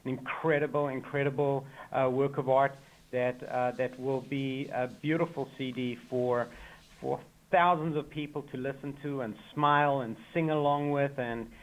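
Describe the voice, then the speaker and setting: An effusive man with a South African accent, talking eagerly as a guest on a radio show. His voice sits at an ordinary middle pitch, and he piles on warm praise while a few filler sounds break up his sentences. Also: fairly even intonation, moderate pace, rough recording. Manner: enthusiastic, effusive. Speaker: choir member, guest. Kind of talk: radio interview.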